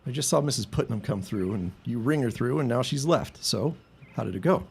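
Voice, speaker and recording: A teasing young brother from a period radio drama. His mid-range voice is husky with heavy creak, and he is blunt and prying. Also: medium pitch, lively intonation, a little fast, exaggerated voice. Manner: blunt, needling. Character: teasing, nosy young brother working in family store. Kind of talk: period radio-style audio drama acting.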